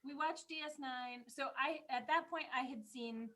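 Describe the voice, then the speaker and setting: Woman, high voice, podcast co-host, animated casual chat, personal storytelling. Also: normal speed. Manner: animated, laughs easily.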